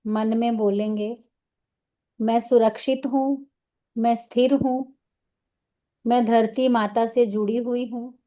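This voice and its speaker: Female meditation guide, Indian accent, soft-edged mellow tone, very slow delivery in short soothing phrases. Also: on the high side. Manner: soothing, unhurried, instructing in short phrases with pauses.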